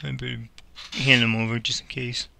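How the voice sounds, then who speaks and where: A man's voice: mid-range voice, little pitch movement, moderate pace, gravelly. A man playing an air traffic controller, mixing radio phraseology with casual commentary on his game.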